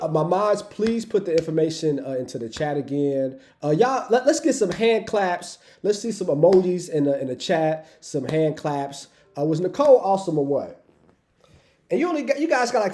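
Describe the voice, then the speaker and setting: A man hosting a livestream with a cold, raspy and stuffy-nosed, talking casually and hyping up his chat. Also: fairly high pitch, warm tone. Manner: upbeat, hypes the chat, says "y'all". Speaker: livestream host.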